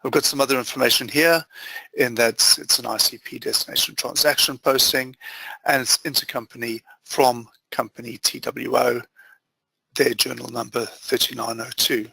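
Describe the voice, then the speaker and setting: A man presenting an instructional software demo as a trainer, explaining methodically step by step in short phrases. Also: mid-range voice, steady tone, moderate pace, creaky, breathy edge, dry recording, poor audio. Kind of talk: instructional screen-share walkthrough.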